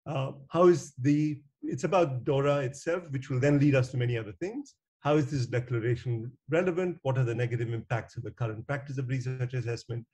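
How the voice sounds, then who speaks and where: Husky, halting male panel moderator. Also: mid-range voice, mellow. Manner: halting, searching for words, frequent hesitations. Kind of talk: semi-formal spoken introductions at a virtual meeting. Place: indoor room on a video call. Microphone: video call microphone.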